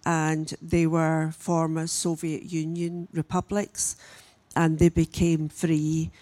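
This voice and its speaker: A woman, giving a church message as a missionary and telling her story in a halting, unhurried way with frequent pauses. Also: sits low, monotone, mellow. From Scotland. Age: 60s. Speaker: guest speaker, missionary. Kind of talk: church message, personal testimony.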